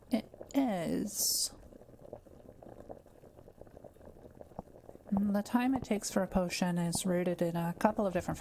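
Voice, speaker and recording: Kind forest witch for audio roleplay, woman performer: soft-spoken, patient explainer, very mellow with a gravelly creak. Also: lowish voice, a little hiss or hum, low-quality recording. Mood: patient, explanatory. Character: kind, quiet-living forest witch brewing potions.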